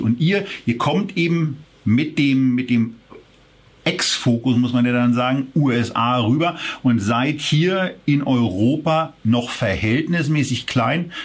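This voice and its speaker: Man, interviewer, husky tone, long framing questions. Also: mid-range voice. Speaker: host.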